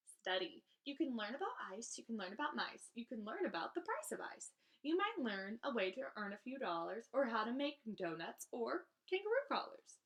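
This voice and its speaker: A woman's voice: fairly high pitch, fairly bright tone. A woman reading children's verse aloud as a storybook host, in a theatrical, emphatic manner.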